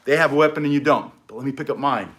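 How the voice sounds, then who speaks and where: A middle-aged man teaching stick self-defense in an energetic, chatty way, with short punchy phrases. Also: mid-range voice, rapid-fire. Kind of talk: casual instructional demonstration.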